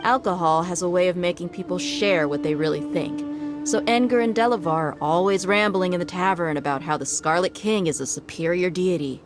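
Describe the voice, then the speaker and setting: A woman's voice: fairly low pitch, a little fast, music in the background, natural voice. A woman voicing a confident female desert mercenary in a game cutscene, cocky and teasing. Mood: smug. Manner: cocky, teasing, laid-back. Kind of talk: video game cutscene dialogue.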